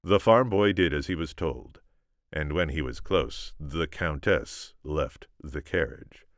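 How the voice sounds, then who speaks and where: A man reading an audiobook, telling the story in a measured, dramatic way. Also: rumbling low, no echo, studio quality. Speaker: audiobook reader. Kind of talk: scripted story narration with informal asides.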